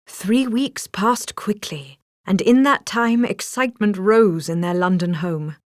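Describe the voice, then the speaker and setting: A woman's voice: mid-range voice, unhurried, no echo, studio quality. A woman reading a scripted children's story as a storybook narrator.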